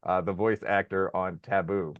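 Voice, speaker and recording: Male co-host, mild Chicago accent, lowish voice, casual and wry, on muffled close audio. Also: flat delivery, moderate pace, no room sound. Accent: mild Chicago American. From Chicago, United States. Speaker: podcast co-host. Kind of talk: casual chat, wry anecdotes.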